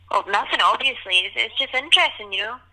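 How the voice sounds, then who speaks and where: Keen, bright-voiced young poet on telephone. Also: female voice, on the high side, expressive, quick pace, heavily acted, rough recording. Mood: defensive, musing. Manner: keen, informal, sweary. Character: young female poet on telephone, enthusiastic, irreverent. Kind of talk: audio play dialogue.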